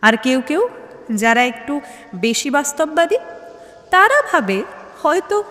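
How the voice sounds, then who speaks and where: A woman giving a measured, suspenseful dramatic reading of a detective story. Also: sits high, sing-song, bright, forceful tone. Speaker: story narrator. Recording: long hall-like reverb.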